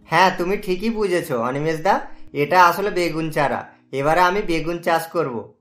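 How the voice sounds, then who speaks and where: A man's voice: a little high, moderate pace, fairly bright tone, soft background music, lightly acted. A man voicing an earnest, hopeful poor village farmer in a cartoon. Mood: worried.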